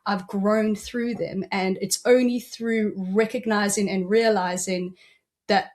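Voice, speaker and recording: A woman's voice: mid-range voice, steady tone, slow pace, darkish tone. A woman with a South African accent, a business coach, answering interview questions in an earnest, reflective way with frequent ums.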